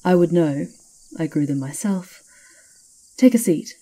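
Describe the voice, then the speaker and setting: Woman playing a dark, husky, sly witch. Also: deep voice, animated, measured pace. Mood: teasing, sly. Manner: teasing, confiding, theatrical. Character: sly, knowing castle witch, teasing and conspiratorial. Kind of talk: ASMR roleplay.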